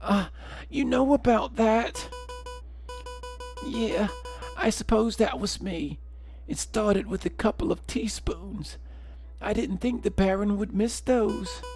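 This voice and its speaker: A man's voice: high voice, takes it slowly, a little mellow, faint music behind, voice pushed up. A man voicing a polite, apologetic young housemaid bird who speaks hesitantly in a video game. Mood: sheepish, confiding.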